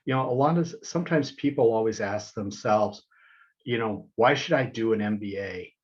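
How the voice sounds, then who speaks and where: A man's voice: on the low side, normal speed. Male panel moderator, slightly muffled audio.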